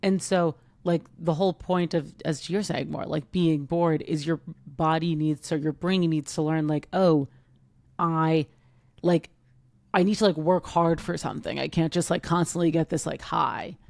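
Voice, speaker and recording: Thirties woman, podcast co-host, low gravelly voice, loose rambling chat. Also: fairly even intonation, normal speed, a little mellow, no room sound. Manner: rambling, heavy use of "like". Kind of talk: casual chat.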